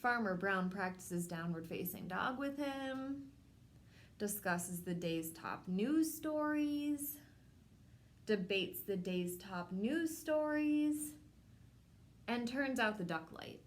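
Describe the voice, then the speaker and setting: A woman hosting a children's storytime, reading a picture book aloud in simple, measured phrases addressed to young children. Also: medium pitch, expressive. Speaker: children's storytime host at a public library.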